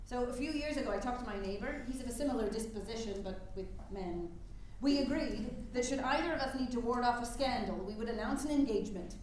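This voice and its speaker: In a very echoey room, a woman acts out a proud nineteenth-century spinster suffragist on stage. Her voice sits fairly high and her delivery is prim, clipped and defensive, with faint noise behind it. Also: fast, hardly any pauses, darkish tone, natural voice. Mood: conspiratorial, composed. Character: brisk, evasive middle-aged 19th-century suffragist, proud spinster. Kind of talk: staged reading, theatrical period dialogue.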